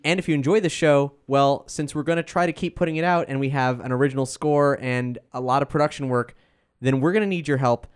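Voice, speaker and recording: Casual mid-pitched man hosting a podcast. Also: a little fast, clear, resonant tone. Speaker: host and performer.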